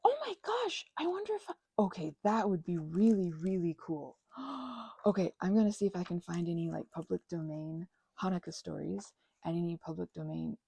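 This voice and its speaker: A woman rambling casually and unscripted while crafting, halting and wandering off on tangents, with excitable, gushing exclamations. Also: fairly low pitch, vocal fry, husky, no echo. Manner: halting, digressive, with gasps and exclamations. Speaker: craft and ASMR channel creator.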